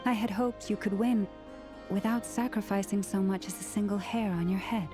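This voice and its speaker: A woman playing a poised, caring young healer in a video game, speaking in a measured, articulate, formal way. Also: mid-range voice, steady tone, over music, natural voice. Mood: composed concern.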